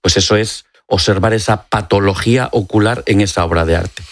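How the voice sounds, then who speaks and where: A man with a Spanish accent from Spain speaks in a lowish voice as an expert guest. He explains in a courteous, conversational way, in a dry radio studio. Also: brisk, no room sound. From Extremadura, Spain. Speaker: interview guest, doctor and ophthalmology professor.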